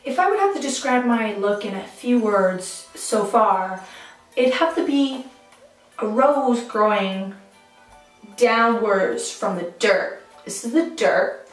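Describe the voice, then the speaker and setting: A woman's voice: a little high, animated, slow, far from the mic. A young woman chatting casually through her makeup routine, playful and self-mocking, often laughing at herself, filmed on a camera mic in a small tiled bathroom. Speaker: beauty vlogger. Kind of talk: casual makeup vlog chat. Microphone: camera mic at arm's length. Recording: echoey bathroom, light background music.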